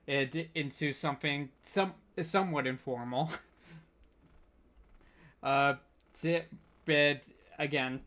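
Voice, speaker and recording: A man hosting an informal online panel, speaking in a halting, scattered and hesitant way. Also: on the high side, sharp tone. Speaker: panel host.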